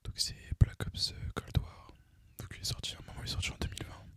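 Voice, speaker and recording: A young man speaks entirely in a soft whisper, as an ASMR gaming host would. His chat is informal and hesitant, drifting along without hurry from one thought to the next. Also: quick pace. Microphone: microphone recorded through a phone, voice-over on gameplay.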